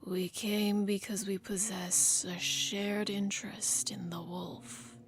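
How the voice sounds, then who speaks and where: Woman doing a creepy hooded executor, whispery slow and flat, no telling if man or woman. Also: medium pitch, slight background noise, lightly acted. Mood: cold, measured.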